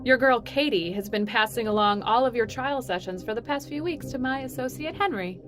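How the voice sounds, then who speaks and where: A woman playing a poised, calculating corporate executive in an audio drama, speaking in a measured, formal and coolly composed way. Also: on the high side, close to an everyday voice. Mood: composed, knowing. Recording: music under the dialogue.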